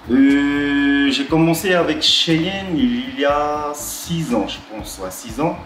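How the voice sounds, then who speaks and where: A tattoo artist from Tahiti, talking about his work in a halting way, with many restarts and fillers. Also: on the high side, a bit bright, reverberant, faint music behind. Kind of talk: talking-head interview.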